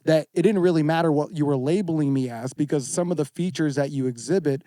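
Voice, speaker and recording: A man's voice: fairly high pitch, quick pace, warm tone, no room sound, clean studio sound. A man in his thirties talking candidly and earnestly as a podcast guest, reflective and full of casual slang. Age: 30s. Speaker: podcast guest, fitness competitor.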